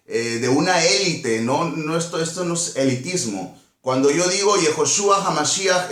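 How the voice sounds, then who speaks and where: Echoey, higher-voiced Peruvian man teaching scripture. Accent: Peruvian Spanish. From Peru. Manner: didactic, repeats phrases and questions, checks in with "ok?". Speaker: Bible teacher. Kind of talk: Bible study teaching, reading verses aloud and explaining.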